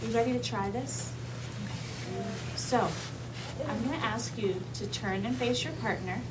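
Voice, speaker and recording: A woman's voice: fairly high pitch, moderate pace. A woman leading an interactive read-aloud lesson for young children, using simple instructional phrasing and frequent check-in questions. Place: kindergarten classroom with children. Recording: distant mic, clearly noisy room ambience.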